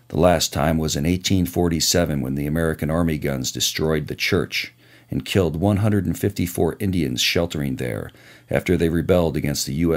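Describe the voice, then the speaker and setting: A man reading scripted travel documentary narration in a measured, even way, recorded as a studio voice-over. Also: a bass voice. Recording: narration over loud music.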